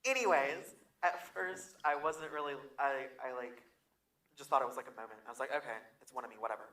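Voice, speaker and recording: A man's voice: medium pitch, brisk, sharp tone. A man co-hosting a casual podcast about music, speaking in an emphatic, opinionated and playfully combative way. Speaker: podcast co-host.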